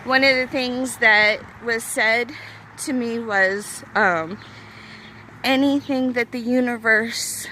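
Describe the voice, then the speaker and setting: Slow-talking young-sounding woman. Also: a little high, pushed and bright, slight background noise. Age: 30s. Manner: rambling, hesitant, many fillers. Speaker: vlogger. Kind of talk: casual chat to camera.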